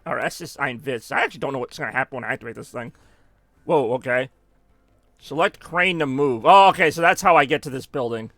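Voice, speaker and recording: A man's voice: mid-range voice, brisk, fairly bright tone, no room sound. A man giving rambling, self-mocking live gameplay commentary as a streamer, now and then dropping in German phrases. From United States. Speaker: gaming streamer.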